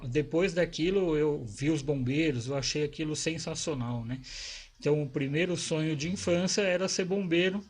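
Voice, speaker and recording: A man's voice: on the high side, breathy edge. A man, a psychologist talking casually to camera about his own life, in a conversational, rambling way with restarts and tag questions. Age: 40s. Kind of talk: casual personal talk to camera.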